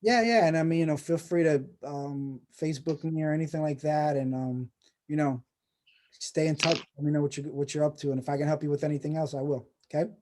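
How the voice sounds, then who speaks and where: A man's voice: a little high, steady tone, brisk, darkish tone. A man hosting a casual video call session, rambling and encouraging with many restarts. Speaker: host of a personal branding course.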